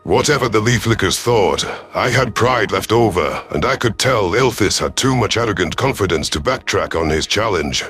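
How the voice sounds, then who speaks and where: A man's voice: lowish voice, expressive, normal speed, hardly any pauses, fairly bright tone. A man giving dramatic, scripted first-person narration of a science fiction audio story. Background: faint music.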